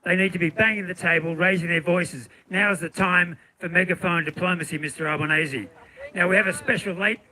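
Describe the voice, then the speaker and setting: An Australian man acting as MC at an outdoor rally, introducing speakers haltingly with many fillers. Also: high voice, fairly even intonation, bright, forceful tone, rough recording. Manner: halting, lots of uhs.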